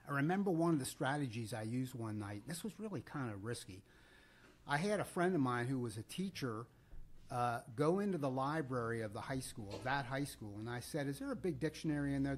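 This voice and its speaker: A middle-aged American man tells a story conversationally as a podcast co-host, deliberately and with pauses. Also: medium pitch.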